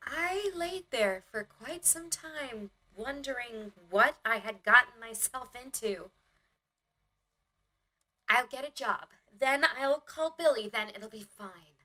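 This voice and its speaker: A woman's voice: fairly high pitch, animated, slow, sharp tone, exaggerated voice, rough recording. A woman playing a naive, eager small-town young woman in a motion comic, telling her story in a chatty, wry diary style. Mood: anxious. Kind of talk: motion comic narration and dialogue.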